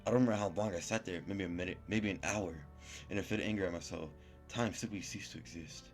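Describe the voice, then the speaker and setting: A man's voice: low voice, fairly even intonation, fast talker, faint music behind. A man reading a visual novel aloud as a gaming YouTuber, in a casual manner.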